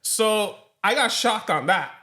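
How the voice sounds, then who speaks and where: Young guy on a podcast, high voice that bounces all over, laughing through a story. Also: slow. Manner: laughs often, slips into Spanish, animated storytelling. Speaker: podcast co-host. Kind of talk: casual chat.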